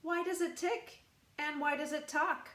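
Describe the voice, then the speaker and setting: A woman's voice: high voice, fairly even intonation, measured pace, fairly bright tone, pitched above the performer's own voice. A woman voicing a curious, persistent little girl from a storybook, in a wondering, questioning manner. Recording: roomy, echoing room sound.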